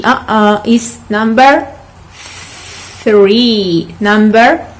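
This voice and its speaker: A woman teaching English pronunciation, deliberately repeating words for learners to copy. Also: on the high side, poor audio. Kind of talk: pronunciation drill for students.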